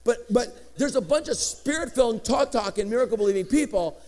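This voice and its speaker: A middle-aged man from Chicago whose first language was Greek, preaching a lively sermon with swinging inflections and joking asides. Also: extremely high pitch, fairly quick. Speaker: pastor.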